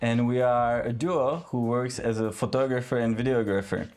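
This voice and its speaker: A man's voice: mid-range voice, moderate pace, a little mellow. A young man, a wedding videographer hosting a casual podcast, who keeps checking in with "right?". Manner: chatty, often checks with a "right?".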